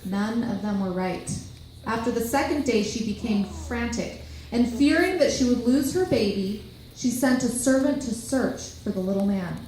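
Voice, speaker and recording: A woman's voice: fairly high pitch, animated, moderate pace, a little mellow. A woman narrator reading a children's tale aloud with storytelling expression, in a very echoey room with faint noise.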